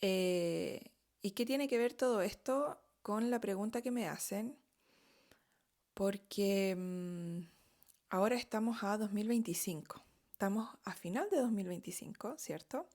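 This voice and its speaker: A woman's voice: mid-range voice, a little mellow. A middle-aged woman from Chile with a Chilean Spanish accent, telling her own story in a candid, chatty monologue, often checking in with "¿ok?".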